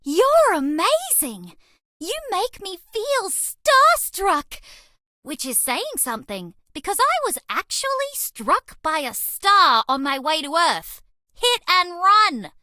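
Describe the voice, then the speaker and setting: Casting: eager, quirky young alien mouse girl for a game, sweet-natured, with very lively intonation and a close, dry sound. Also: extremely high pitch, no echo, full character voice. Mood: delighted. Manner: bubbly, earnest, quirky, affectionate. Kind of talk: dating game character voice lines.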